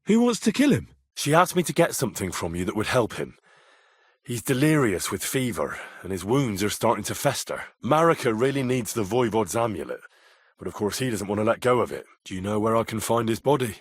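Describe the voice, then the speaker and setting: Husky, animated young male adventurer. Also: lowish voice, brisk, dry recording, studio quality. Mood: irritated, confrontational. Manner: blunt, earthy, sweary, conversational. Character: plain-spoken young medieval adventurer, blunt, bargaining, quick to threaten. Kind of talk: video game dialogue acting.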